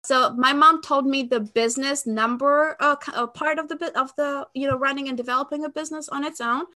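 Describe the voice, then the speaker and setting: This is a woman of about thirty who grew up in Albania and speaks English with an Albanian accent. Her voice sits high and has a bright, forceful tone. She talks in an expressive, conversational way, like a guest explaining her career. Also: brisk. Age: around 30. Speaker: podcast guest, sales expert. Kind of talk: conversational interview answers.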